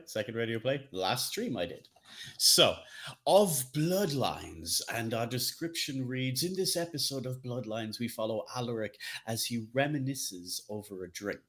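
A man's voice: medium pitch, lively intonation, normal speed, fairly bright tone. A middle-aged man hosting a podcast in a casual, wry, jokey chat about games. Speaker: podcast host.

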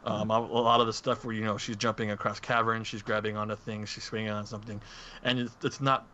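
A man with a bright, forward, lowish voice chats casually as a podcast co-host. He is tentative and self-correcting, and the recording is slightly dull with faint noise behind it. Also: little pitch movement, quick pace. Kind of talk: casual film discussion among friends.

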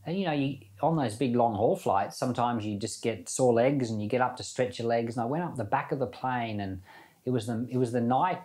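A man's voice: medium pitch, a little fast, a little mellow. A man giving an informal sermon as a Bible teacher, reading scripture and commenting in a conversational way with rhetorical questions.

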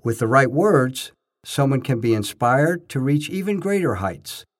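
A man's voice: mid-range voice, expressive, measured pace. A man reading scripted first-person narration, telling his own experiences as a narrator. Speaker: narrator, bodybuilding judge.

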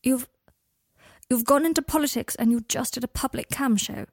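Lady reading as guarded wounded young guy, kinda cutting, husky, dry close sound, audiobook story. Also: medium pitch, brisk, a little mellow, no echo. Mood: guarded, incredulous.

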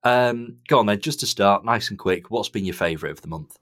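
A man's voice: fairly low pitch, a little fast, a bit bright, no echo, clean studio sound. A man hosting a podcast, chatting casually and enthusiastically as he gives his reviews. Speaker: podcast host.

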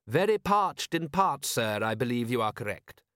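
A man's voice: medium pitch, very animated, moderate pace, fairly bright tone, no echo, studio quality. A man voicing a dutiful, earnest butler in an audiobook, formal, deferential and eager. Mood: polite insistence.

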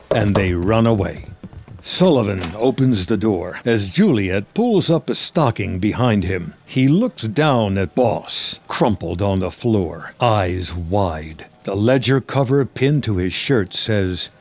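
A man reads scripted film narration, giving present-tense stage directions in a dramatic, deliberate delivery. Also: fairly low pitch. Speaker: screenplay narrator.

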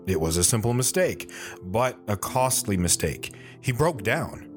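A man's voice: on the low side, big pitch swings, unhurried, soft background music. A man playing an earnest, guilt-ridden soldier, narrating his hard life in a sober, plain-spoken way for an audio drama. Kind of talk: dramatic first-person narration.

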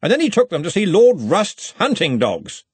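A man's voice: fairly high pitch, sing-song, moderate pace, fairly bright tone, no room sound, pitched above the performer's own voice. A man voicing a jovial, tall old university Arch-Chancellor in an audiobook, speaking in hearty, clipped phrases with a faintly threatening cheer. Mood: menacingly jovial.

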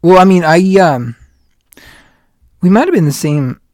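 A man's voice: on the high side, sing-song, a little slow, a little mellow, no room sound, clean studio sound. A man in his late twenties hosting a casual podcast chat, rambling along with lots of "like" and retold quotes. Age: 20s. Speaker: podcast host.

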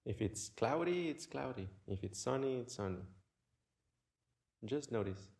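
A man leading a guided meditation in a gentle, unhurried way, leaving long pauses between short phrases. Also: mid-range voice, animated, creaky. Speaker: meditation teacher.

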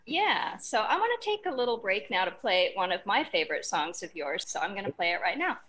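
A woman's voice: medium pitch, sing-song, fairly quick, a bit bright. A woman hosting an interview, speaking in a casual, conversational way. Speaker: host. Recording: clear background noise.

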